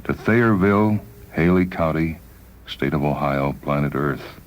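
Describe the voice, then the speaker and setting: A man's voice: a bass voice, a little slow. An actor playing a dying but determined spaceman in a science fiction radio drama. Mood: stubborn resolve.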